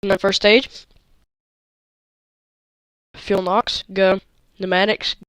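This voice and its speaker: A man with a stuffy, nasal voice giving hobby launch countdown commentary, halting with many fillers. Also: high voice, little pitch movement, a bit bright, clear, resonant tone, dry recording. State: stuffy nose.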